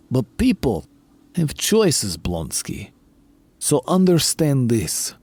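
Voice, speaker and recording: A man's voice: a little high, mellow, full character voice. A man playing a stern, commanding super-soldier team leader who threatens coldly. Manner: cold, slow, threatening.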